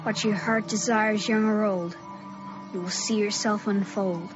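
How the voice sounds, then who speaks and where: A woman playing a bold, defiant thirteen-year-old small-town boy in a radio drama, brash and excitable. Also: mid-range voice, unhurried, close to an everyday voice. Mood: hushed awe. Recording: dull lo-fi audio with music.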